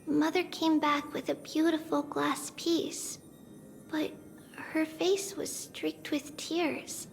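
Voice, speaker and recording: A woman playing a small, gentle, childlike demigod girl in a video game, speaking in a reflective, unhurried way as if older than she looks. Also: high voice, little pitch movement, gravelly, a little hiss or hum, exaggerated voice. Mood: tender, sorrowful. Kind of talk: scripted video game character acting. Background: background music.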